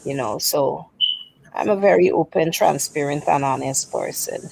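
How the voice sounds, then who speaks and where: A woman speaking as a panelist in a casual livestream chat, earnest and self-assured, listing her qualities in a measured way over an online call. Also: deep voice, gravelly, a little mellow, a little hiss or hum, poor audio.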